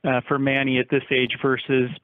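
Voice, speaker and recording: A man's voice: mid-range voice, completely flat delivery, normal speed, dry recording. Male reporter on a dry phone line. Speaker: sports reporter. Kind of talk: asking interview questions on a conference call.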